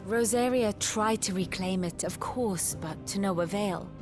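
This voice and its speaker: A woman playing a composed, learned lore-keeper in a video game, explaining history in a measured, articulate way. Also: medium pitch, music in the background, close to an everyday voice. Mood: grave, measured. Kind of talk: scripted video game exposition.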